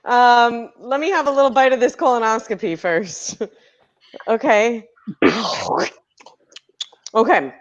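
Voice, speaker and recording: A woman's voice: on the high side, takes it slowly, pauses often. A woman playing a flustered, stammering woman in an improv comedy scene, with a dull lo-fi sound. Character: flustered fan-obsessed woman.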